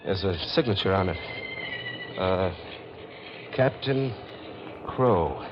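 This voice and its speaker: An actor playing a wary young fortune hunter, acting and narrating in 1940s radio mystery style. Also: male voice, deep voice, animated, slow pace. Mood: nervous, defiant. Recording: dull, noisy 1940s radio recording.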